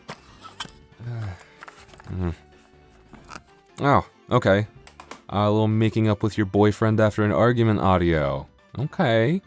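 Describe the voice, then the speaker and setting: A man chatting casually and comically to the listener as an audio creator, rambling playfully with mock bragging and self-interruptions. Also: lowish voice. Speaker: audio roleplay creator and performer. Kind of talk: casual comedic outro chat addressed to the listener.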